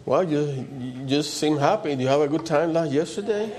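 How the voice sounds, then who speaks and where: Loud-voiced man preaching informally. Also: fairly high pitch, animated, normal speed, a crowd in the background. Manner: repeats short phrases for emphasis, with interjected amens. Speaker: preacher leading a church service. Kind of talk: informal, conversational sermon.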